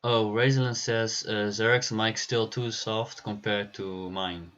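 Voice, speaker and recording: Bright-voiced man casting a card game. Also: lowish voice, highs cut off. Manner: relaxed, laughs easily, frequent "uh" pauses. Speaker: stream host and caster. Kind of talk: esports game commentary.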